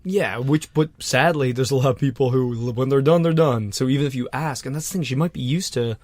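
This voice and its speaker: A man with a mid-pitched voice co-hosts a podcast in a relaxed way. He swears casually and chimes in often with agreement. The recording is dry and close-miked. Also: brisk, no room sound. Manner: laid-back, swears casually, agreeing interjections. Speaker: podcast co-host. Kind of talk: casual chat.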